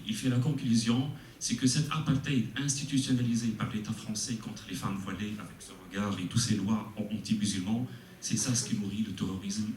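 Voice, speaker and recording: Formal man asking from the floor. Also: on the low side. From Tunisia. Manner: formal, deferential, long-winded, hesitant fillers. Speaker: audience member asking questions. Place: meeting room with audience. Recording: echoey room from far off, faint noise.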